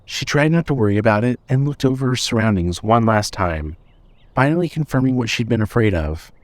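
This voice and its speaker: A man's voice: medium pitch, lively intonation, fairly quick, no room sound. A man reading scripted fiction as the narrator of an audio story.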